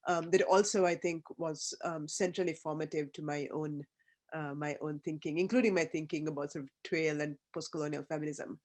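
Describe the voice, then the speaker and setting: Panelist from Sri Lanka, fifties, on a video call; reflective and halting, heavy on ums and restarts, mid-pitched voice. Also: steady tone. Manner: halting, thoughtful, many fillers and restarts. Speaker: academic panelist.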